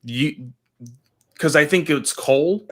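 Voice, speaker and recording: A man's voice: a little high, slow pace. A man co-hosting a podcast in casual chat, making his points emphatically and repeating them for stress. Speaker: podcast co-host.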